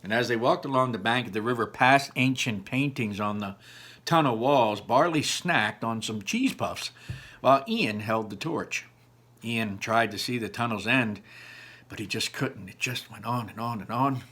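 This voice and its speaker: Bright-voiced man reading a children's story aloud. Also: mid-range voice, slightly rough. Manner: expressive, pausing to explain to listeners. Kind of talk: animated children's book read-aloud with chatty asides.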